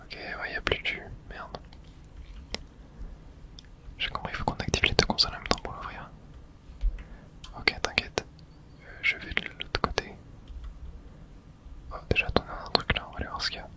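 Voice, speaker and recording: A woman's voice: normal speed, pauses often. Female ASMR streamer, whispered game commentary with casual slang and spoken reactions to play.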